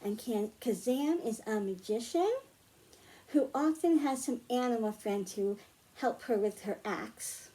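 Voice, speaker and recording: A woman's voice: a little high, unhurried, warm tone, low-quality recording. A woman presenting a science storytime for young children, explaining simply and putting questions to her listeners. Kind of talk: storytime read-aloud and hands-on lesson for young children.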